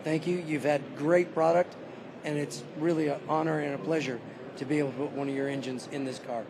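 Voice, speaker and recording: A car builder who is losing his voice, calmly giving a detailed walkaround of a custom car on a show floor. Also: fairly high pitch, fairly quick, crowd noise. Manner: detailed, matter-of-fact.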